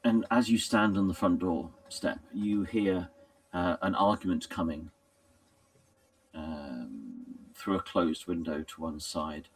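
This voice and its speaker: A man improvising narration as a tabletop game master in a halting, hesitant way, often pausing mid-sentence. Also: sits low, distant mic.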